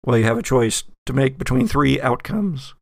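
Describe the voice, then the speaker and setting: A man narrating a horror tabletop game as game master, in a deliberate, descriptive, suspenseful storytelling way. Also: medium pitch, lively intonation, vocal fry, dry recording, studio quality.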